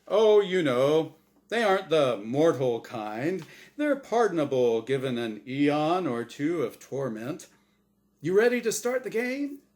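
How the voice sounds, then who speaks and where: A man puts on a showy male angel hosting a game show in a storybook tale. He is smug and theatrical, punching his patter. The pitch rides high and leaps about dramatically. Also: sing-song, unhurried, a little mellow. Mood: smug, teasing. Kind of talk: storybook character voice in dramatic reading.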